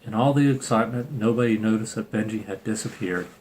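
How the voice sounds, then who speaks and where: Low-voiced man reading aloud over noticeable noise. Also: quick pace, a little mellow. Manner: irreverent, sweary, breaks off for sarcastic asides. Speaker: storybook reader and channel host. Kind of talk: informal read-aloud of a children's picture book with joking asides. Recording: clearly noisy home recording.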